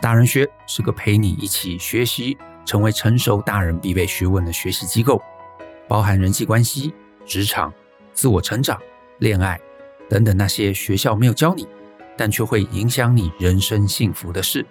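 A man's voice: lowish voice, moderate pace. A man reading a scripted promotional outro as an announcer. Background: music.

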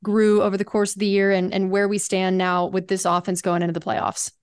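A woman who reports for a team, hosting a radio show and asking clear, measured questions. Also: mid-range voice, completely flat delivery.

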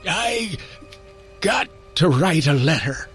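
A man voicing a dying old man, rough and pained, who speaks bluntly and haltingly in a told story. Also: on the high side, sing-song, a bit bright, soft background music, voice pushed up, full character voice. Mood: halting, earnest. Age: older. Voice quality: rough, pained, rasping, coughing.

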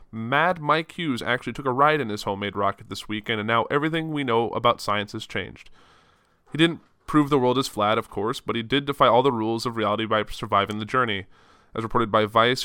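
A man's voice: medium pitch, sharp tone, clear, resonant tone, no echo, clean studio sound. A man from the Chicago suburbs hosting a solo news podcast, talking casually with a cold and stuffed-up sinuses. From Chicago suburbs, Illinois. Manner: casual, rambling, self-correcting. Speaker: podcast host.

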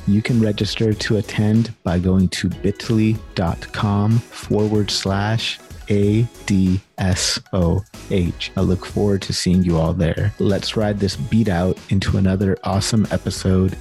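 Low, mellow-voiced podcast host. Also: male voice. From Canada. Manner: enthusiastic, casual, with restarts. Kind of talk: casual interview.

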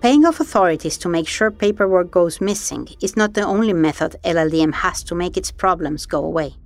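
Serious female narrator for an investigative series, lower voice, dry read over music. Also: animated, dry recording. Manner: measured, serious. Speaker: documentary podcast host and narrator. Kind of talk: scripted narration.

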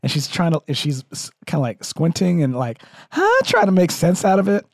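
A man co-hosting a comedy podcast, playful and quick to laugh. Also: a little high, no echo, clean studio sound. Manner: playful, animated, laughs often. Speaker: podcast co-host.

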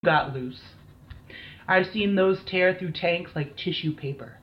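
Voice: mid-range voice, a little slow, lightly acted. An actor playing a shaken, foul-mouthed military team captain in an audio drama, blunt and weary, on a slightly dull recording. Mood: haunted, angry. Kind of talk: dramatised incident interview, audio drama acting.

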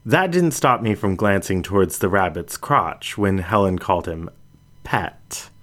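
A man reading a scripted first-person story aloud as an audiobook narrator. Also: fairly low pitch.

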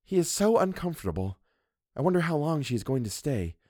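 A man reading a story and voicing an anxious young woman in a soft, worried, inward tone. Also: a little high, very animated, brisk, no room sound, clean studio sound, voice pushed up.

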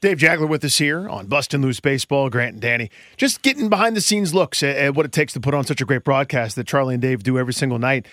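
A man's voice: medium pitch, lively intonation, fast talker, hardly any pauses, fairly bright tone, no echo, clean studio sound. A man hosting a sports radio show, putting questions to a guest in a conversational way.

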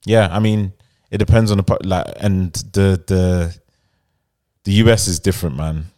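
London guy podcast host, low voice, slangy and opinionated, just chatting. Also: steady tone, normal speed, mellow. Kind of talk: casual podcast banter.

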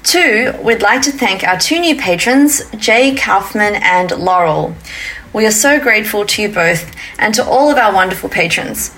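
A woman's voice: mid-range voice, lively intonation, normal speed, a bit bright, soft background music, poor audio. Australian woman hosting a chatty podcast. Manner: friendly, asks follow-up questions. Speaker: podcast host. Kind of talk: casual podcast chat, reading intro.

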